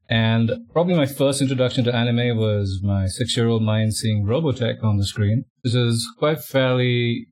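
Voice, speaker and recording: A man talking casually as a podcast guest, relaxed and a bit self-deprecating. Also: mid-range voice, breathy edge.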